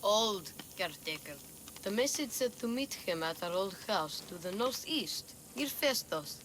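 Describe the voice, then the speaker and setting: A woman's voice: medium pitch, expressive, a little slow, a little hiss or hum, close to an everyday voice. A woman playing a playful, defiant, streetwise child in a video game cutscene. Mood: matter-of-fact, sulky.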